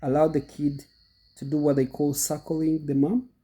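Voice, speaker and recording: Husky, dark-voiced man with a cold. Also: fairly high pitch, flat delivery, normal speed. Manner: chatty, repeats himself, addresses viewers directly. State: congested from a cold. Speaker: goat farmer and channel host. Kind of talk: casual instructional talk to viewers.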